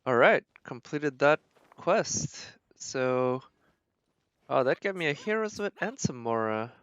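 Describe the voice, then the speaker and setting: A man's voice: mid-range voice, animated, takes it slowly, a bit bright, clean tone, no room sound. Man casually streaming a game, dull lo-fi sound. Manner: rambling, thinking aloud. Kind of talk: casual gameplay commentary.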